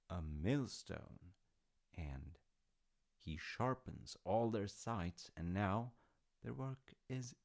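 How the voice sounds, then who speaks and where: A man's voice: low voice, vocal fry, darkish tone, heavily acted. A man playing an easygoing dad in an intimate audio roleplay, telling old myths casually with wry, understated humour. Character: easygoing, artsy dad telling Norse myths to his grown child.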